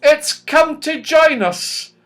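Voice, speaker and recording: A man acts out a young female fairy from a children's storybook. She is sweet and excitable, with a high, expressive voice that moves freely up and down. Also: slow, exaggerated voice. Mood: excited. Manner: expressive, exclamatory.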